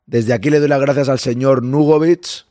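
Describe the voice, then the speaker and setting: A man with a Spain Spanish accent hosting a casual livestream, a bit hoarse, chatting with viewers and greeting them by name. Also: mid-range voice, steady tone, moderate pace, warm tone, dry recording, clean studio sound. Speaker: livestream host. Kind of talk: casual live chat with viewers.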